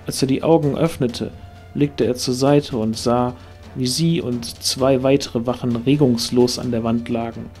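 A man narrates a scripted fantasy adventure as an audio drama. His voice sits at an average pitch, and soft music plays behind him. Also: fairly even intonation, measured pace.

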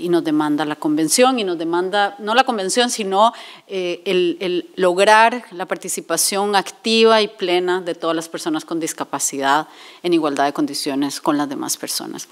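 A woman in her forties from Rica, giving a public lecture at a table in a conference hall. She speaks in a measured way, with frequent "eh" fillers and self-corrections. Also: fairly low pitch. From Costa Rica. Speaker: invited speaker. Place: conference hall with seated audience.

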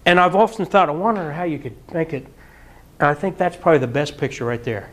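A middle-aged man preaching a sermon in a church hall, animated and often breaking into mock singing and laughter. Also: fairly high pitch, fast. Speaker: pastor. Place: church hall with projector screen.

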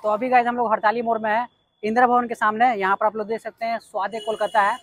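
A man's voice: high-pitched, flat delivery, fast, fairly bright tone, soft background music. Young man, food vlogger from bihar, casual chatty delivery, recommends dishes, lapel mic indoors. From Bihar, India. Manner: chatty, addresses viewers directly, tastes and recommends dishes. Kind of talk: casual food review vlog. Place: small indoor restaurant dining room.

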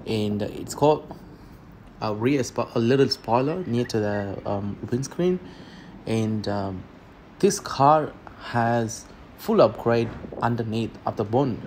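A man's voice: medium pitch, slow pace, a little mellow, slight background noise. A young man with an Indian accent talking up a car outdoors, eager and stumbling over repeated words. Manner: enthusiastic, repeats words as he talks. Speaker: car vlogger. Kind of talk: casual car review. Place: outdoors on a quiet road.

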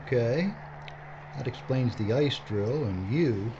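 A man's voice: medium pitch, expressive, slow pace. An actor, a man, playing a blunt, sarcastic, skeptical crew member in an audio drama. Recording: muffled, noisy background ambience.

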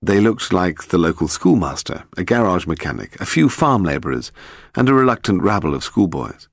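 A British man narrating a detective novel in a measured, literary storytelling manner. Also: sits low, sing-song, creaky, dry recording, studio quality. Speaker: audiobook narrator.

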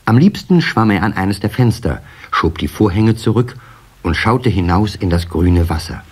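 Ein Mann erzählt als Sprecher eines Kinderhörspiels eine vorbereitete Geschichte, mit rauer Stimme. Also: male voice, on the low side, animated, normal speed, darkish tone, slightly rough. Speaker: narrator. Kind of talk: scripted children's storytelling.

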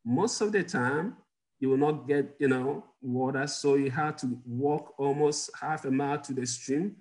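A middle-aged man speaks at a moderate, ordinary pitch and tells stories from his own life on a video call. He talks with easy warmth, breaks into chuckles, and turns to ask his listeners questions. The sound is the plain sound of a home office on a video call. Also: measured pace, rough recording. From Ghana. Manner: warm storyteller, chuckles often, asks the audience questions. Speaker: guest doctor. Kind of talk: personal storytelling in an interview.

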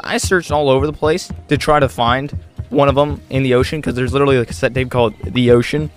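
A man giving casual game walkthrough commentary, chatty and thinking aloud as he plays. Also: mid-range voice. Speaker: gaming video host.

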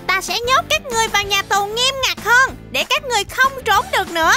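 Very high cute fox cop, swooping, bossy, children's cartoon, music behind. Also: animated, brisk, bright, forceful tone, full character voice. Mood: stern. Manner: exaggerated, instructive. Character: young cute fox police officer, earnest, bossy.

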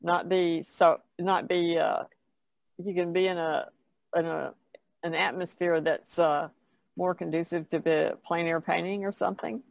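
An older woman chats casually as a podcast panelist. Her voice has plenty of creak and sounds dry, with little room to it. She talks in a plain, folksy way. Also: lowish voice, steady tone, a little slow, no echo. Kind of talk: casual chat.

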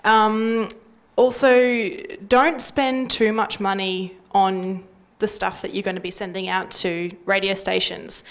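A woman's voice: mid-range voice, fairly even intonation, slow, clean tone. A young Australian woman talking casually and wryly into a handheld mic on a panel. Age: young adult. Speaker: panellist from community radio. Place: indoor workshop panel before a small audience. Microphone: handheld microphone.

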